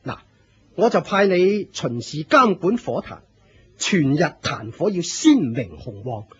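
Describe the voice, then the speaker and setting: An actor playing a proud, fervent leader of a fire cult in a Hong Kong Cantonese radio drama, declaiming with pompous authority. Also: male voice, a little high, big pitch swings, slow, fairly bright tone, slightly rough, muffled recording, heavily acted. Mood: authoritative.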